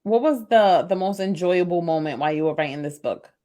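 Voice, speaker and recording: A woman's voice: lowish voice, lively intonation, brisk, mellow. A woman hosting a podcast, chatting casually with her guest and encouraging her, laughing along. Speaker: podcast host. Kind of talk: casual interview chat.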